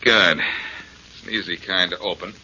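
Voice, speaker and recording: An actor playing a glib, self-assured amateur detective in 1940s radio drama style, teasing and dryly sarcastic. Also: male voice, low-pitched, unhurried, sharp tone, slightly rough, heavily acted. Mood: confident, intent. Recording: muffled, noisy 1940s radio recording.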